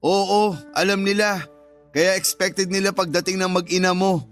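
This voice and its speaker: An actor playing a firm but considerate older brother in a radio drama. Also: male voice, sits high, level tone, moderate pace, fairly bright tone, faint music behind. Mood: stern, scolding. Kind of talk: Tagalog radio drama acting.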